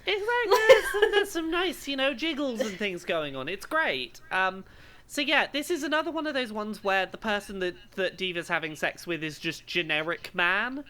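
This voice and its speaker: A woman's voice: mid-range voice, very animated, moderate pace, pushed and bright. A woman co-hosting a podcast, chatting casually in an emphatic, amused way as she gives commentary. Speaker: podcast co-host.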